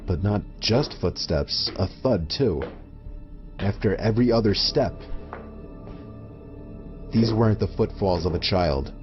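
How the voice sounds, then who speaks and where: Low-voiced man narrating horror over music. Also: expressive, a little slow, pauses often. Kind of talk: scripted first-person horror narration. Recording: muffled lo-fi audio under music. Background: prominent background music.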